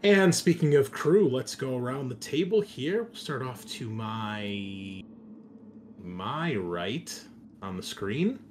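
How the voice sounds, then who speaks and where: A man's voice: medium pitch, lively intonation, slow pace, darkish tone, soft background music. A man speaking as host and game master, chatting casually and informally to listeners and players. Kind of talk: casual hosting chat for an actual-play podcast.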